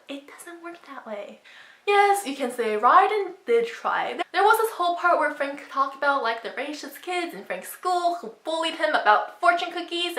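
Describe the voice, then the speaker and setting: A woman's voice: high-pitched, sharp tone. A woman giving emphatic, opinionated commentary to camera as a book vlogger. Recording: roomy echo.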